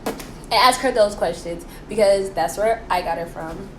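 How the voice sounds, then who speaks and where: A woman's voice: medium pitch, a little slow. A young American woman with a playful, theatrical, slangy manner, chattily presenting history as a student host and throwing out rhetorical questions. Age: young adult. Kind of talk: chatty, informal history presentation for a school project. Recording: echoey room with faint background noise.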